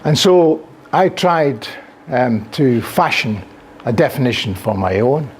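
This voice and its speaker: A man's voice: mid-range voice, takes it slowly, slight background noise. An older man from Scotland with a Scottish accent gives a conference lecture in a classroom with a projector, gesturing freely and using frequent fillers, recorded by a camera at the back of the room. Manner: animated, gesturing while speaking, frequent fillers.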